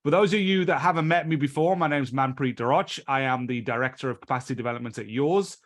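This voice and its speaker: A man's voice: medium pitch, quick pace. A British man hosting and teaching a live online training session over a video call, speaking in a chatty, loose way that often restarts its phrases.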